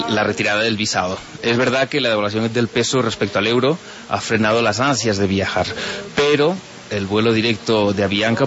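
A man with a Catalan accent, a trade and tourism delegate, answering interview questions in a measured, informative way with frequent hesitations. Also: fairly low pitch. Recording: muffled radio broadcast.

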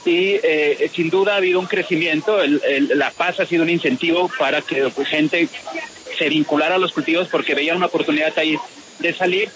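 A man from Spain with a Spanish accent, giving a measured, analytical radio interview and often pausing on "eh". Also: on the high side, steady tone, noisy background. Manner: measured and analytical, with frequent "eh" fillers and restarts. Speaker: peace process analyst.

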